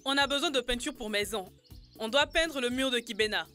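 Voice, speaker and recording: A woman's voice: fairly high pitch, brisk, sharp tone, soft background music. A woman voicing an eager young child full of ideas in a children's cartoon dub. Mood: cheerful.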